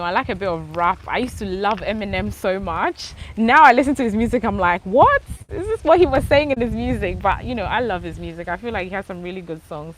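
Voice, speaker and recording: A woman's voice: medium pitch, a little hiss or hum. A young woman with a mixed Ghanaian, English and Australian accent, chatting casually outdoors as she answers viewers' questions live. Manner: chatty, relaxed, thanks viewers, spells things out slowly. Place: outdoors under a palm tree.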